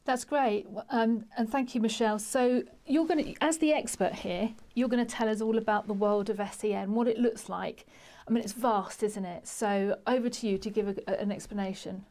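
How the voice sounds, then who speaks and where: A woman's voice: on the high side, steady tone, normal speed, warm tone. A woman hosting a discussion from a studio, talking in a friendly, hesitant way with fillers. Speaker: host.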